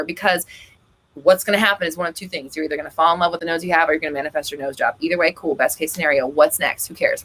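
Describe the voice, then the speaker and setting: A woman hosting a live chat Q&A, reading viewers' comments aloud and answering them, chatty and blunt, swearing freely and often breaking into gasps and high laughs. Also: a bit bright. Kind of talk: casual live-stream Q&A.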